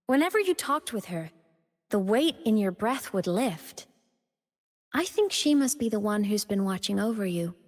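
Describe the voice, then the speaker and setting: A young woman playing a gentle, playful female digital ghost in a video game, with a tender, earnest and affectionate manner. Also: mid-range voice, in a large hall. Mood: soft, reflective. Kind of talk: video game character voice lines.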